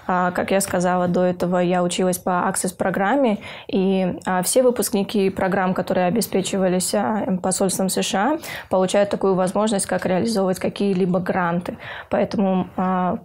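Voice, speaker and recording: A young woman, a university student from Kyrgyzstan, speaking earnestly in an interview, pausing with hesitant filler sounds and restarting her phrases. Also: medium pitch, darkish tone. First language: Russian. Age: young adult. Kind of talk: interview for a university news feature.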